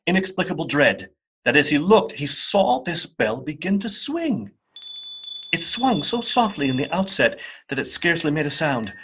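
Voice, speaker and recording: Male narrator for radio drama, mid-pitched and husky, expressive storytelling with deliberate phrasing.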